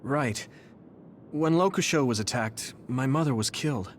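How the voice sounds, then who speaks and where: A man's voice: medium pitch, a little slow, a little hiss or hum, lightly acted. A man playing an earnest, polite, sheltered young soldier hero in a video game cutscene. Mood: determined.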